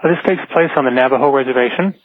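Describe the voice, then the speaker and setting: Male caller, mid-pitched, matter-of-fact but tentative storyteller, dry narrowband telephone audio. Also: rapid-fire, no echo. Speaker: radio show caller. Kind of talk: unscripted personal anecdote. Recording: narrow, dry phone line.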